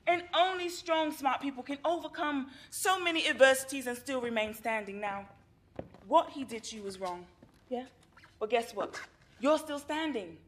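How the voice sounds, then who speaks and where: An actress playing a calm, encouraging female therapist who speaks in a measured, reassuring way in a stage play. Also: sits high.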